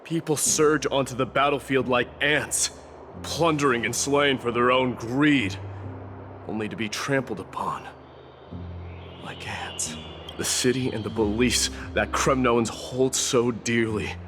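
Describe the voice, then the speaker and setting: A man's voice: medium pitch, a little slow, fairly bright tone, lightly acted. A man playing a proud, stern warrior prince turned war god in a video game, with a grandiose, declamatory delivery. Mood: scornful. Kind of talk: scripted video game acting. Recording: clear music behind.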